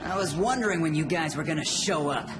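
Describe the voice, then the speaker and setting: An actor playing a wry, confident robot scout, dry and self-assured, in an animated action story with noise behind. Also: male voice, fairly high pitch, fast, close to an everyday voice. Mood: wry confidence. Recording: loud background noise.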